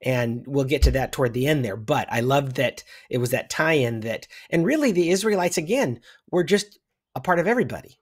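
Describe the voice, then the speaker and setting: A middle-aged American man reflects aloud as a guest in a relaxed talk about faith. His pitch is average for a man, and he builds his thoughts as he goes. He is on a video call from a room at home. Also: a little fast, slightly rough. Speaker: discussion guest. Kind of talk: casual religious discussion.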